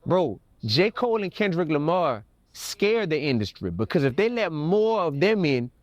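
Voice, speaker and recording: A man's voice: fairly high pitch, big pitch swings, normal speed, no echo. An American man talking in an interview, opinionated and emphatic, repeating words for stress. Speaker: rapper being interviewed. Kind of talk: interview, opinionated conversational talk.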